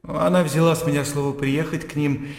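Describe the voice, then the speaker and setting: An actor playing a reserved, serious young gentleman in radio drama dialogue, laconic and dry. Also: on the high side, fairly even intonation, fairly quick, creaky. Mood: guarded, casual. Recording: very echoey hall-like reverb.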